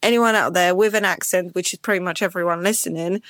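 A woman from Poland with Polish as her first language, hosting a podcast interview in an animated way, often repeating words as she goes. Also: lowish voice, quick pace, no echo, studio quality. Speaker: podcast host.

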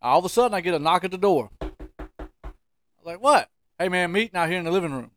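A man's voice: high voice, animated, quick pace, faint music behind. A man co-hosting a podcast, telling stories casually and emphatically in folksy, colloquial language. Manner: colloquial, emphatic, repeats phrases for effect. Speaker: podcast co-host. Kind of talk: casual comic storytelling.

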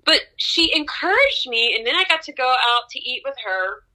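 A young adult woman, an opera singer, telling stories from her career in an interview with lively laughs and excited rises in pitch. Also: normal speed, poor audio. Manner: animated storyteller, laughs often, pitch jumps up in excitement. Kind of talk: conversational interview storytelling.

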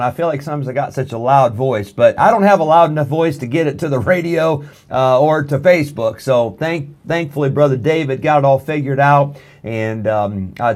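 A man's voice: medium pitch, a little mellow. A man, a pastor hosting his church's radio broadcast, making friendly, rambling announcements with many fillers. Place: church studio room.

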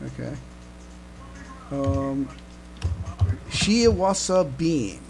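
A man co-hosting a casual fan podcast, chatting haltingly and often repeating his words. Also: mid-range voice, expressive, vocal fry. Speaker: podcast co-host.

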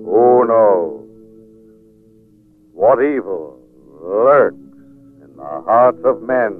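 An actor playing a menacing, mocking invisible avenger in a 1940s radio drama. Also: male voice, on the low side, lively intonation, very slow, no echo, faint music behind, full character voice. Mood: sinister, gloating. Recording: muffled lo-fi 1940s radio recording.

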